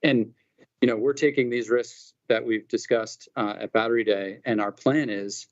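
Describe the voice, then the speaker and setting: A man's voice: mid-range voice, fairly even intonation, normal speed, no echo, muffled recording. A man speaking as a company executive on an earnings call, conversational and emphatic, unscripted over a conference line.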